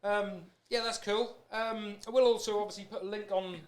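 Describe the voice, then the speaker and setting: A man's voice: high voice, steady tone, normal speed, clean tone. A British man hosting a podcast in a casual, rambling, anecdotal way. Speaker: podcast host. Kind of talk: casual chat.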